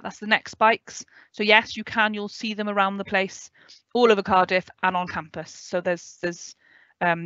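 A woman's voice: mid-range voice, bright, forceful tone, dry recording. A woman in her thirties from West Wales with a Welsh accent, giving an online orientation talk and answering questions, often checking in with "okay?". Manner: clear, friendly explaining, checks in with "okay?". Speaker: student adviser. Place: home room with a window. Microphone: headset. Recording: dry, dull video call audio.

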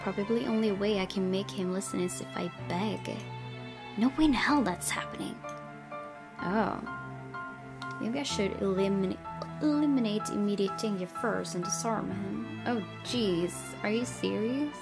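A woman's voice: mid-range voice, moderate pace. A woman hosting a let's play, reading visual novel narration aloud and adding casual asides. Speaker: gaming video host. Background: game music.

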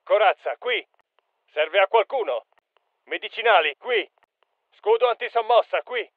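An actor playing an urgent special forces soldier in a video game, giving clipped, emphatic callouts. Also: male voice, high-pitched, expressive, unhurried, dry recording, narrow, tinny audio. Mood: firm, alert. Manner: clipped, emphatic military delivery. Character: urgent male special forces soldier calling out battlefield alerts.

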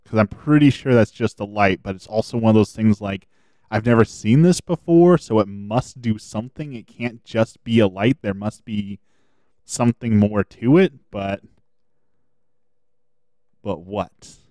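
A man streaming a video game, chatting casually and thinking aloud as he plays, his voice a little hoarse. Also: lowish voice, a little slow, warm tone, no echo. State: slightly hoarse. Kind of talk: casual gameplay commentary.

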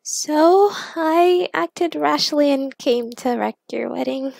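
Lady acting out a young superheroine in a fanfic audiobook, high voice, pleading and emotional, slow halting confession. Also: creaky, a little mellow, no echo, heavily acted. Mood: regretful. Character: tearful young superheroine confessing to her lost love.

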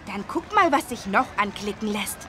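A woman's voice: medium pitch, expressive, moderate pace, bright, forceful tone, a little hiss or hum. A woman voicing an eager, helpful little boy in a children's educational game. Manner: eager, chirpy. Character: bright, helpful little boy, the elephant's friend.

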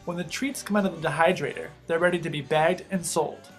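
A man's voice: on the high side, brisk. A man reading a scripted voice-over in an informative, read-aloud way. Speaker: narrator. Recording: voice-over with faint background guitar.

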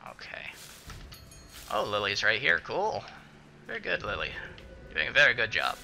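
A man's voice: medium pitch, huge pitch swings, normal speed, creaky, sharp tone. A man hosting a casual let's play, muttering, swearing and talking to the game's characters. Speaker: gaming video host. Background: game music.

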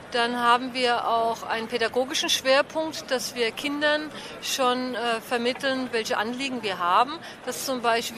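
A woman representing an animal welfare group, explaining its work in a street interview. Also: high voice. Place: outdoors on a street. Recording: noisy outdoor street interview.

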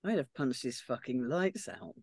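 A woman's voice: rumbling low, expressive, normal speed, a little mellow, no echo, clean studio sound, exaggerated voice. A woman on a video call reading the part of an easygoing, blunt young woman in her twenties. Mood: earnest.

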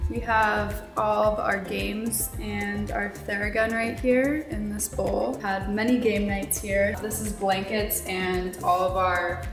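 A woman's voice: medium pitch, little pitch movement, takes it slowly, few pauses. A woman in her twenties with an American accent, chatting casually and informally in a giggly way as a vlogger. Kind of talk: casual house tour vlog chat. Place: inside a bright open-plan home. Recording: roomy echo, music underneath.

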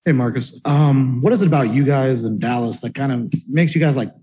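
A man with a husky, mellow voice asks a reporter's question loosely and conversationally. His pitch sits in the middle of the range. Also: brisk. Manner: casual, chatty questioning. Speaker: sports reporter.

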